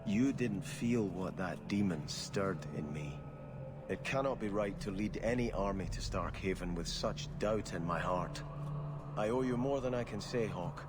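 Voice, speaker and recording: An actor playing a devout, conflicted young exiled prince in a video game, with a formal, confessional manner. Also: male voice, on the low side, unhurried, background noise, lightly acted. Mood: earnest, reflective. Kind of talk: video game cutscene acting.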